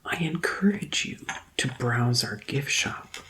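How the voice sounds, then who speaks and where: A woman's voice: extremely low pitch, animated, fairly bright tone, full character voice. A woman playing a helpful vampire tour guide in a soothing ASMR roleplay whisper with a crackle in it. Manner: slow, soothing customer-service patter. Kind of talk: soft-spoken ASMR roleplay.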